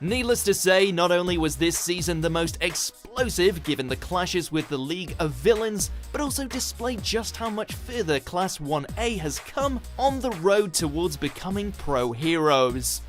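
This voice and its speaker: A man's voice: high voice, expressive, normal speed, few pauses, over music. A man reading scripted narration as the narrator of a ranked countdown video.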